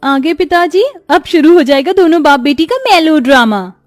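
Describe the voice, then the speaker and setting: Woman acting a haughty, high-pitched spoiled stepsister. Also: normal speed, no room sound, studio quality, faint music behind, close to an everyday voice. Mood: sneering. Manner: haughty, taunting, bossy. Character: spoiled, arrogant, mocking young stepsisters bullying their sister. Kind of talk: animated Hindi moral story acting.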